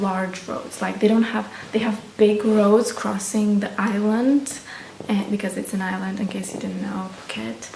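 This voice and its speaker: A woman's voice: medium pitch, gravelly, darkish tone. Echoey young Romanian woman vlogger. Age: young adult. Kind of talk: casual chat to camera. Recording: roomy echo, distant mic, faint noise.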